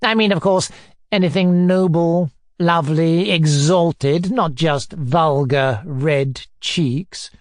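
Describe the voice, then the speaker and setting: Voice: mid-range voice, lively intonation, unhurried, no echo, clean studio sound, voice pushed up, natural voice. A narrator voicing a pompous, bullying middle-aged man who hectors and sneers, in an audiobook. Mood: pompous, nonchalant. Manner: pompous, majestic nonchalance, hectoring questions. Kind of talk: audiobook character dialogue.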